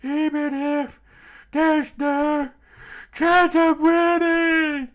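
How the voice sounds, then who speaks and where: A man voicing a scared but stubborn young male pirate who screams his lines in a strained, high yell. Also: completely flat delivery, drawn-out words, exaggerated voice. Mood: defiant shouting.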